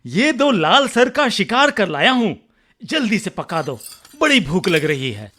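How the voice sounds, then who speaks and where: Casting: quarrelsome younger brother in radio drama, high animated voice, unhurried delivery, faint music underneath. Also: male voice, exaggerated voice. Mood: eager, hungry. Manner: demanding, quarrelsome. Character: hot-tempered, arrogant village younger brother. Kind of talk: Hindi radio drama acting.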